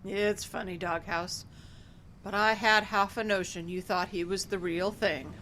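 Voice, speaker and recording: Woman as a sensible library boss, medium pitch, dry teasing manner, radio play, faint background noise. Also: fairly even intonation, unhurried, fairly bright tone, close to an everyday voice, rough recording. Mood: skeptical.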